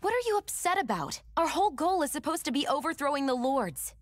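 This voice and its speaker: A woman's voice: high voice, big pitch swings, brisk. An actress voicing a defiant young woman rebel fighter in a video game, blunt and challenging. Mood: indignant. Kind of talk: video game cutscene acting.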